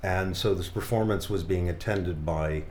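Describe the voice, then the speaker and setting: A middle-aged man from the Boston area, a magician, telling halting anecdotes in casual conversation. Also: low voice, a little mellow, roomy sound, a little hiss or hum. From Boston area, United States. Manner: halting, anecdotal storytelling with many restarts. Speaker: guest, magician.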